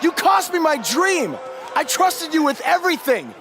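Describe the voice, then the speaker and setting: A man's voice: very high voice, very animated, normal speed, pushed and bright, exaggerated voice. A man playing a hurt, betrayed pro wrestler in a video game, intense and impassioned. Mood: hurt, furious. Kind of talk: video game cutscene acting. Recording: noisy arena crowd behind.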